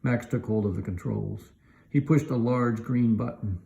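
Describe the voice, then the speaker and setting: A man reading a children's storybook aloud in an animated narrating style. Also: fairly low pitch, moderate pace, vocal fry. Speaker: elementary teacher reading aloud.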